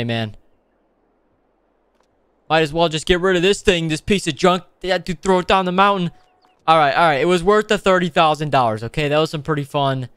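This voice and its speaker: A young man narrates his gameplay in a casual, excitable way. His voice sits fairly high and sounds clean and smooth. He is slangy and animated, full of exclamations as he plays along with the roleplay. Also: no room sound. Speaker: gaming video host.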